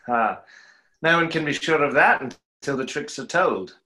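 A man's voice: fairly high pitch, lively intonation, unhurried, sharp tone, low-quality recording. A young man playing a skeptical stage conjurer in an online play reading, with a dry, sardonic delivery. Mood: wry. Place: small room at home, video call.

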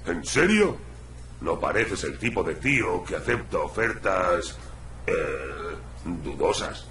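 An actor playing a big, tough, defensive gorilla bodyguard in a video game. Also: male voice, deep voice, very animated, slow, a bit bright, echoey room, slight background noise, heavily acted.

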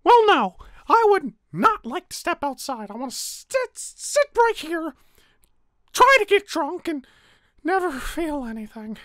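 Lady doing a super high little cartoon flower, bitter and sweary, sarcastic, really dry close sound. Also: sing-song, unhurried, a bit bright, no room sound, exaggerated voice. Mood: defensive, sullen. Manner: sarcastic, cursing, stammering when cornered. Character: small bitter foul-mouthed talking flower, emotionally numb and angry. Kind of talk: voice-acted fan roleplay drama.

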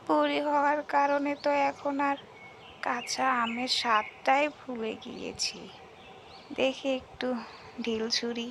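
An old, toothless village granny acted by a woman, wistful and frail. Her voice is high and crackly and she takes her time, murmuring along as in a storybook cartoon. Faint birdsong and noise sit behind her. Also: level tone, a little slow, vocal fry, a bit bright, full character voice. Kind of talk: cartoon fairy-tale voice acting.

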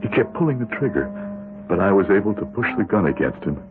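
A man's voice: low-pitched, sing-song, fast, over music. A man playing a despairing, earnest ordinary man in a 1970s radio drama. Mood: brooding. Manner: brooding inner monologue, earnest pleading when threatened. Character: despairing ordinary man, decent but rationalizing, narrating his misdeeds. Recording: thin phone-like vintage radio broadcast.

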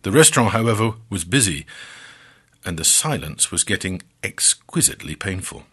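Guy telling a scripted story, kinda husky, really lively pitch, draws words out for drama. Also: moderate pace. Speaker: storyteller and podcast narrator.

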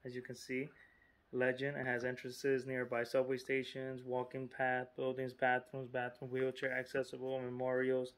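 A man's voice: mid-range voice, completely flat delivery, normal speed. Chatty man showing a map. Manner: chatty and informal, lots of fillers and asides. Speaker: vlogger. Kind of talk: casual vlog narration.

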